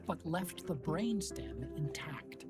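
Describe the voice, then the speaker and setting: A grave, composed man in a military sci-fi game lays out exposition slowly and carefully. Loud music plays beneath the voice. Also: on the high side, big pitch swings. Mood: grave, measured. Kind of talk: scripted dramatic exposition in a video game.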